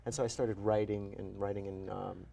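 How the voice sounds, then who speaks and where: A man in his forties from New York, a physician and author, talking in an animated, self-deprecating way as an interview guest. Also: on the low side, fairly quick, creaky. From New York, USA. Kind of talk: interview conversation.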